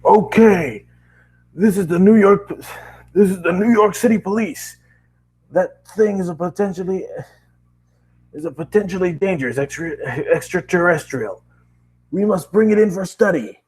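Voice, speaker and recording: A man voicing a gruff, take-no-prisoners police captain who shouts orders as if through a megaphone. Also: high voice, normal speed, pauses often, voice pushed up, poor audio. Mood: commanding.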